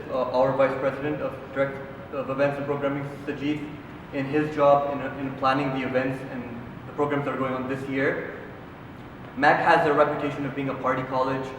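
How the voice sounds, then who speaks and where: A young man giving an earnest, formal campaign speech. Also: mid-range voice. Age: young adult. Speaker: student council candidate. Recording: echoey hall from far off, noisy.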